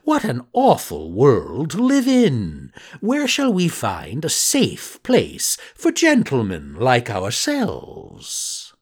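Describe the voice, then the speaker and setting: A man's voice: medium pitch, huge pitch swings, slow pace, few pauses, husky, heavily acted. A man voicing a sly, scheming male fox in a storybook reading, drawn out and theatrical.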